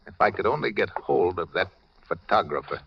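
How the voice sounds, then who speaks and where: A man's voice: low-pitched, expressive, fairly quick, creaky, background noise. An actor playing a wary, desperate American man on the run, talking in terse hard-boiled style in 1940s radio drama. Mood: weary. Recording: dull, lo-fi old radio recording.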